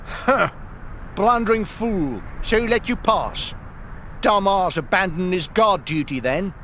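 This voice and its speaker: An actor playing a greedy, menacing villain in a video game, mocking and taunting in a lecherous way. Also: male voice, sits high, animated, a little slow, a bit bright, slight background noise. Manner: taunting, mocking, with sneering pet names.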